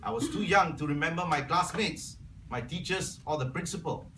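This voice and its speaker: A man's voice: fairly high pitch, expressive, moderate pace, pushed and bright, recorded from a distance, slight background noise. Earnest man speaking in an echoey room. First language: Cantonese. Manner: earnest, clears throat often. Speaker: incoming club membership officer. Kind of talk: prepared speech to a club meeting. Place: club meeting room.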